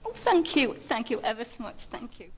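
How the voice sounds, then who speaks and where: A woman in her fifties, a singing contestant, soft-spoken and gushingly polite. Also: fairly high pitch, sing-song, normal speed, slight background noise. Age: 50s.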